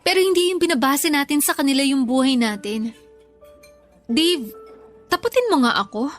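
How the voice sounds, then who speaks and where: Young Filipina girlfriend, hurt and earnest, high voice, radio drama with music underneath. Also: animated, normal speed. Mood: hurt, pleading. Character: hurt, disillusioned young girlfriend, a content creator.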